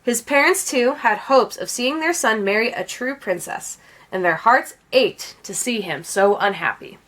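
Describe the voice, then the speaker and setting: A woman reading a children's picture book aloud as an expressive storyteller. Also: fairly high pitch, measured pace, low-quality recording. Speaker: read-aloud storyteller, teacher.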